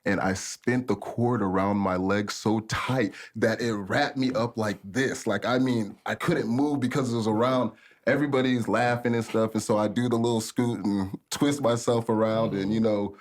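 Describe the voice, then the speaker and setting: A man from Africa, a podcast guest, telling long stories in a relaxed, rambling way with many fillers. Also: lowish voice, moderate pace. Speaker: podcast guest, studio owner. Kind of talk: casual storytelling chat.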